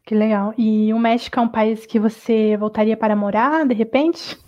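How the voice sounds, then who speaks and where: A woman interviewer asking brief, steady questions. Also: fairly high pitch, normal speed.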